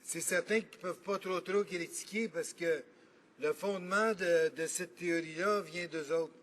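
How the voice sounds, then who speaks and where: A man with a Quebec French accent, a member of parliament giving an earnest, argumentative debate speech in a legislative chamber and pausing often to think. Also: high-pitched, steady tone, a bit bright. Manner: earnest, argumentative, thinking aloud with frequent pauses.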